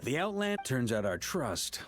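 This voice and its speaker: An actor playing a seasoned knight grandmaster in a video game, a steady, reflective leader with a measured, earnest delivery. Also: male voice, mid-range voice, faint music behind. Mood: easygoing. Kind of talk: video game character dialogue.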